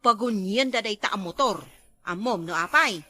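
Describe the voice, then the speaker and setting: A woman's voice: mid-range voice, animated, moderate pace, pushed and bright, no room sound. A woman with an Ilocano accent playing an exclaiming neighbor in a comedy sketch.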